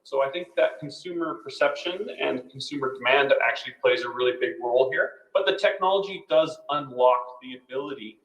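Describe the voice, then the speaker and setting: A man's voice: medium pitch, brisk, few pauses, fairly bright tone. A man speaking as a panelist, explaining and thinking aloud in an unscripted discussion. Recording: roomy, echoing sound.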